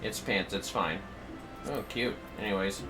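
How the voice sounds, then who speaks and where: A man's voice: fairly low pitch, unhurried, music in the background. A man giving casual, playful livestream commentary on a video game, muttering asides to himself.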